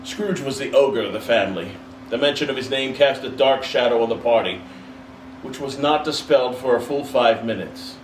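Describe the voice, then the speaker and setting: A man reading a classic story aloud in an expressive, dramatic narrating style. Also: medium pitch, normal speed. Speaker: storybook reader. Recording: roomy, distant mic, faint background noise.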